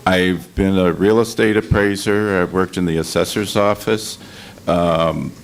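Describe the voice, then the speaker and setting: An older American man giving measured, halting remarks as a panel guest. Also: deep voice, steady tone, vocal fry, a little hiss or hum. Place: storefront meeting room, live event.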